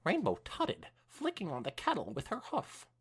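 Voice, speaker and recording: A woman with a fairly low voice reads a story aloud as a podcast co-host. Her delivery is extremely animated, swinging up and down expressively, with casual conversational touches. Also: normal speed, husky. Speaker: podcast co-host reading fan fiction aloud.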